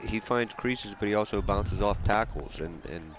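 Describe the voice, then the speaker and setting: A man giving color commentary on a high school football game, halting, with repeated words and fillers. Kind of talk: postgame sports analysis.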